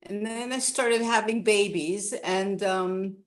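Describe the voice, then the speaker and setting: A woman's voice: medium pitch, slow. A woman in her sixties from Canada, a guest telling her life story in a rambling, casual chat with many restarts.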